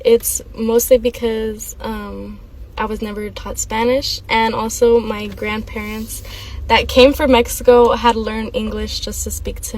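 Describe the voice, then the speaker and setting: A woman's voice: fairly high pitch, slight background noise, rough recording. A young woman giving personal testimony as a student interviewee, speaking hesitantly with frequent ums.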